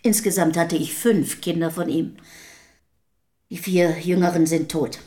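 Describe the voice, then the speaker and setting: A woman's voice: on the low side, fairly even intonation, a little slow, dark tone, close to an everyday voice. An actress in a German radio play voicing a wary, plain-spoken woman who answers tersely and with reserve. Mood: dry, guarded.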